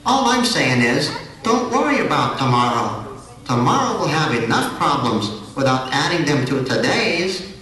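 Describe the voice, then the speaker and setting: A man voicing a wry, kindly talking flower in a puppet show, gently reassuring and a little preachy. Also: on the high side, big pitch swings, measured pace, exaggerated voice. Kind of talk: scripted puppet show dialogue. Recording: roomy echo, distant mic, faint noise.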